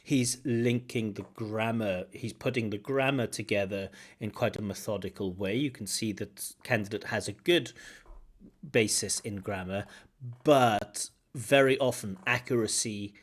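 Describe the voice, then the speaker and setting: A man from Wales, an English examiner, giving feedback on a speaking test and explaining points with examples and gentle corrections. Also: fairly low pitch, a little slow. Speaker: IELTS examiner and teacher. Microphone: video call.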